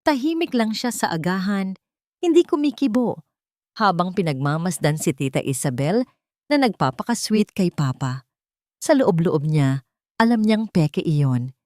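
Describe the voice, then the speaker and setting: Low-voiced woman narrating a dramatic story. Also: animated, a little slow, a little mellow, dry recording, studio quality.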